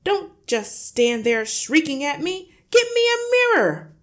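Woman acting a loud, pompous, blustering husband. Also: sits high, big pitch swings, slow pace, pitched above the performer's own voice, heavily acted. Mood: furious, bewildered. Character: loud, boastful, bullying middle-aged husband and father.

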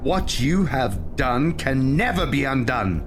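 A man's voice: mid-range voice, sing-song, slow pace, music in the background, voice pushed up. A man voicing a furious, bitter younger woman accusing her husband in an audio story. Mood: bitter accusation. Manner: anguished, accusing. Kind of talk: dramatic storytelling voice.

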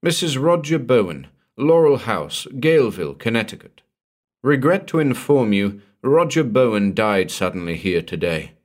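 A man voicing a cool, formal telephone caller who reads out a telegram in a measured way. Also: medium pitch, very animated, voice pushed up, lightly acted.

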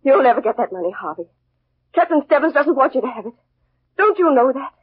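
An actress playing a frightened, defiant wife in 1940s radio drama style. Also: female voice, high voice, big pitch swings, brisk, husky, no room sound, exaggerated voice. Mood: taunting, defiant. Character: frightened, defiant wife taunting her husband. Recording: muffled 1940s radio recording.

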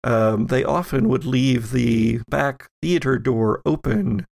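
A man's voice: medium pitch, takes it slowly, vocal fry, a little mellow. A man narrating an improvised tabletop role-playing game as game master, piecing descriptions together hesitantly.

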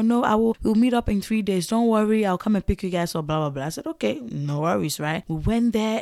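A young woman from Ghana with a Ghanaian English accent, hosting a podcast and telling a story in a chatty, animated way with frequent laughs. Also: medium pitch, dry recording, clean studio sound. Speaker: podcast host. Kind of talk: casual storytelling monologue.

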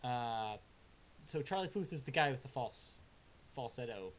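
A man's voice: medium pitch, animated, unhurried. A man chats casually through the week's album reviews, his talk full of filler sounds and restarts. His verdicts land blunt and dry. A faint hiss sits under the recording. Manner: laid-back, hesitant, many filler sounds. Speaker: music review co-host.

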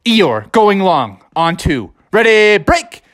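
A fired-up young frog quarterback, voiced by a man, shouts pep talks in a high, bouncy voice. He sounds bossy and excited, his pitch leaping around. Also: takes it slowly, exaggerated voice. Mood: pumped up. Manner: yelling, pumped-up pep talk.

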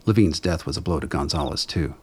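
A man with a gravelly voice reading scripted dramatic narration in a measured, brooding storytelling manner. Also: very deep voice.

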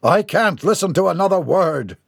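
A man voicing a pompous, indignant gentleman and father in an audiobook, barking his words. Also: high-pitched, animated, normal speed, gravelly, slightly rough, dry recording, clean studio sound, pitched above the performer's own voice, heavily acted. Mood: outraged.

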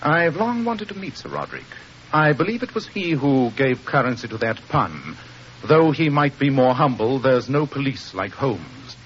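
A man's voice: mid-range voice, big pitch swings, moderate pace, a bit bright. An actor playing a brilliant, aloof male detective with a dry, formal, assured manner in an old radio mystery drama. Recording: muffled, noisy old radio recording.

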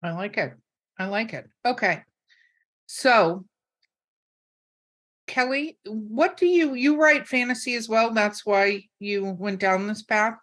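A woman's voice: mid-range voice, animated, slow, long pauses, a bit bright. A woman hosting a casual panel, moderating with playful, dryly teasing remarks. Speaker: host, interviewer.

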